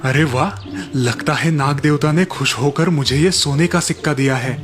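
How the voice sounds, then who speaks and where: Honest, helpful cartoon father, earnest villager, male actor, soft music bed. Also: fairly high pitch, moderate pace, natural voice. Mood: kindly. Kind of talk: animated moral tale dialogue.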